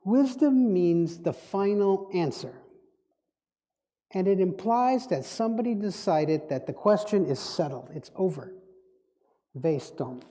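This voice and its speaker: Slow, dark-voiced man. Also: sits high, lively intonation. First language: Dutch. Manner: reflective, musing, circles back on words. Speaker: guest speaker. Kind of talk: spiritual talk. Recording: echoey hall reverb.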